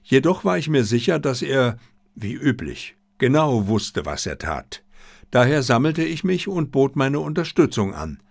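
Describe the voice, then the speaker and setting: A male narrator reads a scripted story with measured expression. His medium-pitched voice moves through animated intonation, recorded very dry. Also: gravelly, no echo. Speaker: audiobook narrator.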